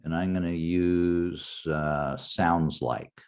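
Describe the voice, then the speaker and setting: An older man teaching a genealogy class in a relaxed, anecdotal way, walking the class through a website step by step. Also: deep voice, very slow, clear, resonant tone. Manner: anecdotal, conversational, walks through website steps. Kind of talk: informal lecture with screen demo.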